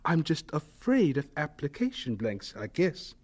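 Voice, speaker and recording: A man's voice: a little high, wildly swooping pitch, unhurried, darkish tone, full character voice. An actor in a radio drama playing a devout, earnest young man who speaks politely and with determination. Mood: determined.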